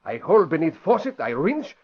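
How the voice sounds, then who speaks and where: An actor playing an eager, humble immigrant restaurant owner with a foreign accent and broken English in an old radio drama. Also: male voice, a little high, sing-song, normal speed, a little hiss or hum. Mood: urgent, insistent. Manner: broken English, short clipped phrases. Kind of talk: 1950s radio drama acting. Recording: dull vintage radio recording.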